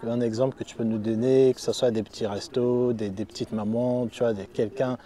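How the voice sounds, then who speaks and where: A man's voice: mid-range voice, steady tone, a little fast, mellow, a little hiss or hum. A man working as an interviewer, asking long, meandering questions in a casual, conversational way.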